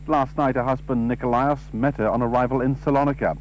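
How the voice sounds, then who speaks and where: A man's voice: mid-range voice, quick pace. A man reading a scripted television news report as a reporter. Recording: noisy old TV broadcast, steady hum.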